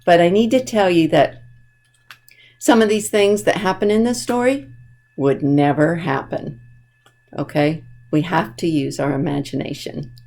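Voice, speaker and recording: A woman's voice: lowish voice, unhurried, darkish tone. A woman reading a children's storybook expressively, with asides spoken directly to young listeners. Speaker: children's librarian, storytime reader. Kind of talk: animated children's storybook read-aloud with asides.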